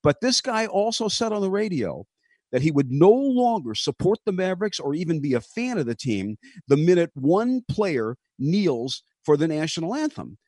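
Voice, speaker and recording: A man's voice: a little high, very animated, moderate pace, darkish tone, dry recording. A man hosting a sports talk show, pressing his co-hosts with questions and reading out news items. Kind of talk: sports talk radio discussion.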